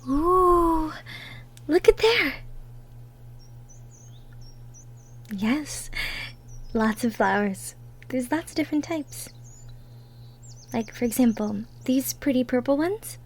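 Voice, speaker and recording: Woman acting as cheerful gentle young woods woman, soft giggly higher voice, takes her time, cosy roleplay audio. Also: animated, heavily acted. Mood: chatty, enthusiastic. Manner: soft-spoken, giggly, unhurried, sweet. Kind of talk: roleplay audio drama, intimate one-sided conversation.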